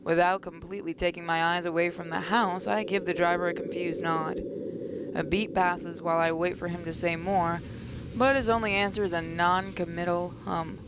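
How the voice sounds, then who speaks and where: A woman's voice: on the low side, level tone, moderate pace, crowd noise. A woman hosting a gaming video, reading visual novel text aloud expressively with casual commentary.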